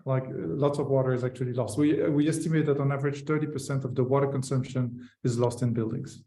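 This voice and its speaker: A man's voice: mid-range voice, steady tone, fast talker, warm tone, roomy sound. A man from Belgium, a company founder, talking in a casual webinar interview with many fillers and restarts.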